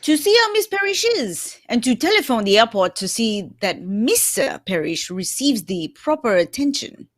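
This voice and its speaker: A woman's voice: fairly high pitch, big pitch swings, moderate pace, hardly any pauses, no echo, clean studio sound. A young woman playing a fussy, self-assured Belgian male detective in a radio mystery reading. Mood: composed, wry. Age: young adult. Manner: theatrical, courteous, precise. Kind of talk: live reading of old-time radio mystery script.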